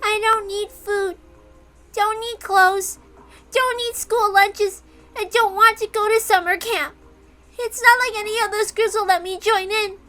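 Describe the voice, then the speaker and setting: Very high-voiced lonely little girl. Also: fairly even intonation, measured pace, sharp tone, soft background music, full character voice. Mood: sullen, sad. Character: lonely little girl, earnest, sad, devoted to her mother. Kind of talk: dramatic reading of a visual novel.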